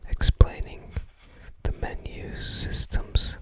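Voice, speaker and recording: An ASMR host whispering softly and breathily close to the microphone, musing casually and tentatively. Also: slow pace. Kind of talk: ASMR, casual commentary while browsing a game guide book.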